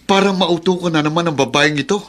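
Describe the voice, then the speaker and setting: A man's voice: fairly high pitch, quick pace, a bit bright, natural voice. An actor playing a scornful, sarcastic, moralizing man in a Filipino radio drama, sneering with contempt. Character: scornful, sarcastic male cousin, moralizing and cruel.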